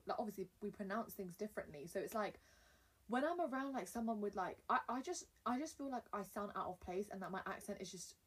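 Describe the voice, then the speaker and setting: Young woman, from England, playful, teasing, chatty, breathy voice, distant mic. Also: medium pitch, expressive, fast. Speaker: podcast co-host. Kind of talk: casual chat. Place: home.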